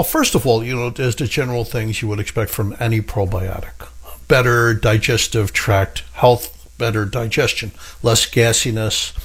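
A middle-aged man with a husky voice, hosting a health radio show as a pharmacist, talking with enthusiasm and stacking up repeated phrases for emphasis. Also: lowish voice. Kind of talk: radio health talk monologue.

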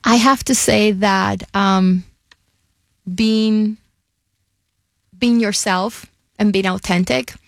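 Brief: middle-aged Puerto Rican-accented woman, earnest interviewee, steady mid pitch, close dry sound. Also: slow pace, vocal fry, pauses often, dry recording. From Puerto Rico. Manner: earnest and warm, repeats words as she goes. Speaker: nonprofit CEO, former physician. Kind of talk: interview conversation.

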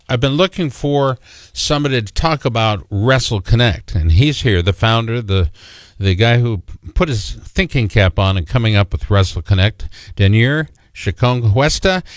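A man's voice: mid-range voice, very animated, normal speed, few pauses, dry recording. Male interview host, joking, casual, dead dry sound. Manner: jokey, loose, with fillers. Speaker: podcast host.

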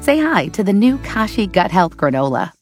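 A woman voicing radio commercials in a smooth, friendly read. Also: mid-range voice, very animated, normal speed, faint music behind. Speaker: ad announcer.

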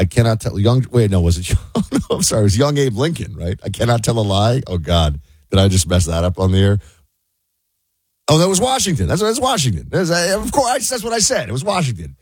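An American man hosting a talk radio show with off-the-cuff political commentary, slightly husky, conversational and rambling, often interrupting himself. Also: medium pitch, a little mellow, dry recording.

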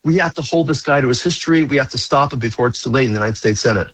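A man's voice: mid-range voice, rapid-fire, fairly bright tone, breathy edge, no room sound. A man appearing as a rabbi guest on a talk radio show, speaking urgently and insistently, repeating his warnings.

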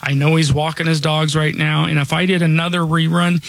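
A radio host in his forties talks in a casual, rambling way, restarting sentences and leaning on fillers. His voice is raspy and a bit hoarse, with a gravelly edge and a pitch on the higher side for a man. The sound is dry and close. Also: flat delivery, fairly quick, fairly bright tone, no room sound. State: slightly hoarse from chest trouble. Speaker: radio show host and financial advisor.

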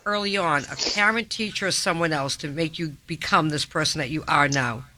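A woman's voice: sits low, fairly quick, pushed and bright. A woman hosting a radio interview, blunt and teasing, joking with her guest. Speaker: radio host.

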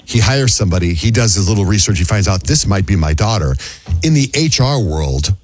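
An American man hosting a radio call-in show with casual, animated banter, often acting out imagined dialogue. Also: lowish voice, fairly quick, a little hiss or hum.

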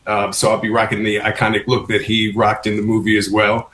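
A man's voice: on the low side, monotone, brisk, fairly bright tone. A man from Baltimore, a musical theater actor, talking friendly and upbeat in an interview over a video call. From Baltimore, USA. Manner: friendly, upbeat, some fillers.

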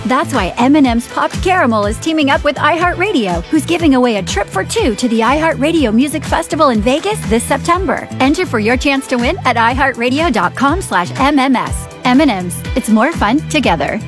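A high-voiced woman reads a peppy radio ad at a brisk clip and rushes the fine print. Clear music plays beneath her. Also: expressive. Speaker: ad announcer. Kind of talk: upbeat ad read with fast legal disclaimer.

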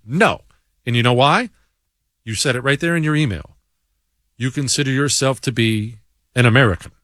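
A man's voice: mid-range voice, fairly bright tone, no echo, studio quality. A man hosting a talk show, giving a blunt, combative monologue and reading listener emails aloud. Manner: blunt and combative, stammers on restarts. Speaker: show host.

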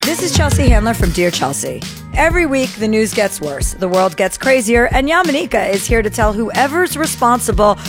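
Woman host, wry and punchy, bouncy pitch, slightly high. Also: sing-song, normal speed, music in the background. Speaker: podcast host reading a promo.

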